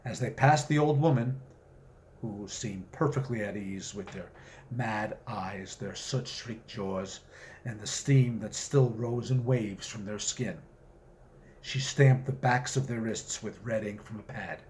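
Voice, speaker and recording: A man speaking as an author presenting and reading his own short story, with measured, deliberate phrasing. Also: fairly low pitch, darkish tone, slight background noise. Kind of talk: story reading with spoken introduction.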